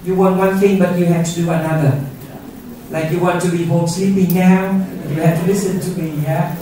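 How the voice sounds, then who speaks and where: A man's voice: high-pitched, level tone, moderate pace, background noise. A middle-aged man whose first language is Vietnamese gives an earnest conference talk and keeps asking the audience questions with a tag of "yeah?". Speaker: conference speaker. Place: university auditorium. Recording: roomy auditorium sound from far off.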